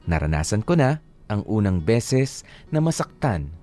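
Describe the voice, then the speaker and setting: A man's voice: fairly low pitch, sing-song, a little slow. A man reading a scripted first-person life story as a radio narrator. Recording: guitar music under the voice.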